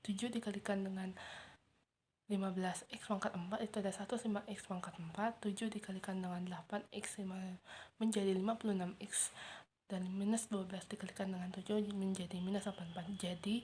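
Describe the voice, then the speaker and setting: A teenage girl working methodically through math problems step by step, in a soft, half-whispered way. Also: mid-range voice, quick pace, a little hiss or hum. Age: teens. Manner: steady, methodical, working through steps aloud. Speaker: student explaining homework. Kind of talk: math walkthrough.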